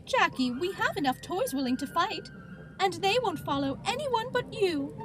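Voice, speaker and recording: A woman's voice: extremely high pitch, very animated, normal speed, fairly bright tone, soft background music, exaggerated voice. A woman playing a sweet, dramatic, doting quilt doll in an audio drama, gushing and fussing theatrically. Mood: adoring, encouraging. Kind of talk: amateur audio drama acting.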